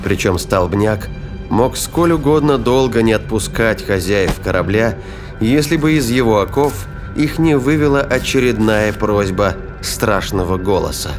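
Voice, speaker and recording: A man's voice: fairly low pitch, expressive, unhurried. A man narrating an audio drama in a dramatic, scripted storytelling style. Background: music.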